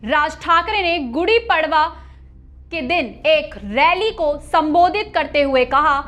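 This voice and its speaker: A woman's voice: high-pitched, lively intonation, slow, a bit bright. A woman news anchor reading a scripted news report.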